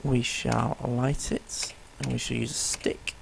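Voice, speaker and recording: A man's voice: medium pitch, little pitch movement, takes it slowly, a little hiss or hum, low-quality recording. A man with a strained, failing voice, giving easygoing let's-play commentary and explaining the game as he plays, with plenty of ums and uhs. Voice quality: rough, tired-sounding voice. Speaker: gameplay commentator.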